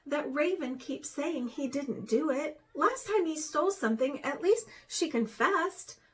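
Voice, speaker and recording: A woman's voice: high voice, expressive, measured pace, a bit bright, distant mic. A woman voicing a judgmental, easily startled storybook hamster in an emphatic manner. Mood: puzzled.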